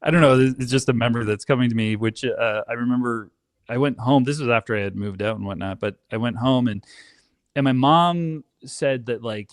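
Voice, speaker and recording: A man telling personal stories as a podcast guest in a casual, animated, emphatic way, often interrupting himself. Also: lowish voice, no echo, clean studio sound. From Canada.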